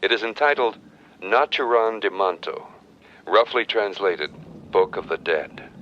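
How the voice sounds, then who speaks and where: An actor playing a grave, scholarly archaeologist haunted by demons, speaking solemnly in a horror film's recorded journal. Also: male voice, fairly low pitch, animated, dull audio. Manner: solemn, measured, formal scholarly diction. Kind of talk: scripted horror film recorded-journal narration. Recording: noisy old reel-to-reel tape playback.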